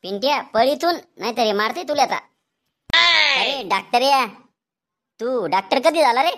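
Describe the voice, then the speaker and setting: A man's voice: very high-pitched, expressive, moderate pace, a bit bright. A man voicing a cheeky, doubting male patient in a comedy cartoon, complaining and arguing in a Vidarbha Marathi accent. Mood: alarmed, protesting. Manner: complaining, argumentative.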